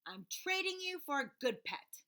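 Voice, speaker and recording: A woman voicing a young boy who grudgingly grows fond of his pet, in an expressive storybook style. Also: high-pitched, moderate pace, voice pushed up, full character voice. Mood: grudging, firm. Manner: expressive, emphatic. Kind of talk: animated children's story read-aloud.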